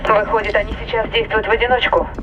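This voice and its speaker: An actress playing a wary, insistent spaceship crew member in an audio drama, earnest and analytical, heard through a radio intercom filter. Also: female voice, medium pitch, steady tone, fast, lo-fi sound. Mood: questioning, analytical. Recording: band-limited radio voice over music.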